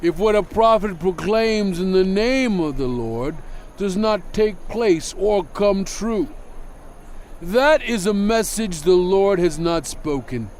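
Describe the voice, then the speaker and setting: A man playing a solemn lawgiver prophet in a dramatized scripture reading, declaiming deliberately to his people. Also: on the high side, a little mellow, slight background noise. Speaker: narrator voicing a prophet in a dramatized audio Bible. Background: background music.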